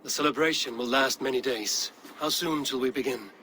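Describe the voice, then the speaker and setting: A resolute Viking clan leader, voiced by an actor, gives orders in an earnest, steady voice. The tone is bright and clear, at a middle pitch. Faint ambient noise runs behind him. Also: male voice, fairly quick, natural voice, poor audio. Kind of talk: video game cutscene acting.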